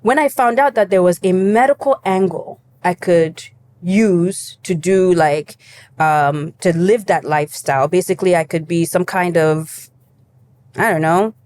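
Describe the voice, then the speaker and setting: A young adult woman from Cameroon, a guest in an interview, telling her story in a lively casual way and acting out her younger self. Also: on the low side, a little slow, slight background noise. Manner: animated storytelling, acts out her younger self, laughs easily.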